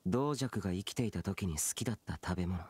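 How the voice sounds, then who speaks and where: A man's voice: low-pitched, expressive, quick pace, darkish tone, a little hiss or hum. A man playing a stern, aloof young immortal guardian in a game, curt and solemn with archaic wording. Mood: aloof, wistful. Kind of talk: Japanese game dub acting.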